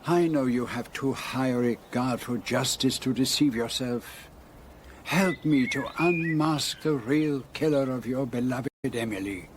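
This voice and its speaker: An actor playing a shrewd, courteous middle-aged Belgian detective, speaking precisely with a Belgian French accent in a radio drama. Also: male voice, mid-range voice, measured pace, background noise, natural voice. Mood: calm, insistent.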